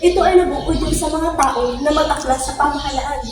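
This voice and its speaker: A woman's voice: high voice, brisk, background noise, lightly acted. Echoey far mic, girl playing disapproving colonial official, formal recited lines, amateur history film. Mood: stern, disapproving. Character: disapproving colonial authority condemning a rebel writer. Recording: echoey, distant, loud music behind.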